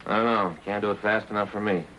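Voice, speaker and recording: An actor playing an earnest, chatty American police detective sidekick in 1950s television drama style. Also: male voice, on the low side, fairly even intonation, fast talker, fairly bright tone, natural voice, low-quality recording. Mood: earnest, eager. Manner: folksy, earnest, conversational. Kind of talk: 1950s police drama acting, light comic relief. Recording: 1950s TV soundtrack with faint hum.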